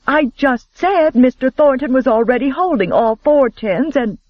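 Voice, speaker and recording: A man's voice: extremely high pitch, animated, no room sound, dull audio, pitched above the performer's own voice. A man voicing an earnest young woman pleading urgently in an audiobook. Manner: pleading, hurried.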